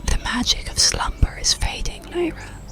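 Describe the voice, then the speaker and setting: A storyteller voicing a wise, gentle elderly woman dreamweaver in a soft, solemn whisper for a bedtime story. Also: normal speed, soft background music, close to an everyday voice. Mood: grave, gentle.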